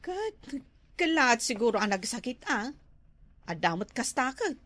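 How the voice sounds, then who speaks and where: Gossipy Ilocano village woman, acted, higher voice. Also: big pitch swings, a little slow, a bit bright, no room sound. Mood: conversational. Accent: Ilocano Filipino. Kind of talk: amateur Ilocano comedy drama acting.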